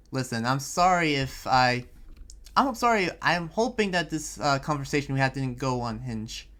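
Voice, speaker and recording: A man playing an earnest, preachy but friendly town leader in an anime roleplay, speaking in a halting, informal way. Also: mid-range voice, lively intonation, pushed and bright. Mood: apologetic, friendly. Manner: halting, rambling, informal advice-giving. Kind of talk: improvised Minecraft anime roleplay. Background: music under the voice.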